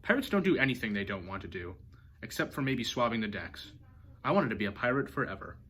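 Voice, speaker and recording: A man reading a children's storybook aloud as an expressive narrator. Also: on the low side, fast, slightly rough. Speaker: storybook reader.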